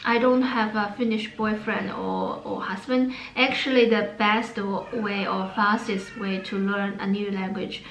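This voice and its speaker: A young woman with a Chinese accent talks slowly and haltingly, with many pauses and fillers. Her voice rings in an echoey room over a faint hum. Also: medium pitch, steady tone, vocal fry, fairly bright tone. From China. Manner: hesitant, many fillers and restarts. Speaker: vlogger. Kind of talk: casual talk to camera about language learning.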